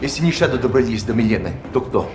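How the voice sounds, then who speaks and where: A man's voice: medium pitch, expressive, racing, background noise, lightly acted. A man playing an eager, anxious subordinate militant with an urgent manner. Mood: insistent. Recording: loud music over the voice.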